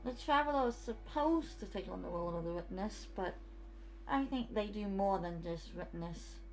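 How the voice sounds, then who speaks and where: A woman's voice: medium pitch, expressive, normal speed, a little mellow, poor audio. Woman streamer, casual gameplay commentary. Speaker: gaming streamer.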